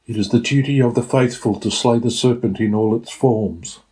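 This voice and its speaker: A man's voice: medium pitch, normal speed, dark tone, pitched above the performer's own voice, lightly acted, poor audio. A man voicing a small, devout boy from a religious sect, solemn and reciting. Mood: solemn, defiant.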